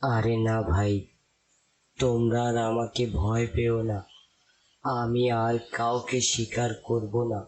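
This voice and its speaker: A man's voice: medium pitch, flat delivery, slow, a little mellow, rough recording. A man voicing an old cartoon lion who feigns frailty and speaks in a pleading manner. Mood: feigned remorse. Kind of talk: cartoon voice acting.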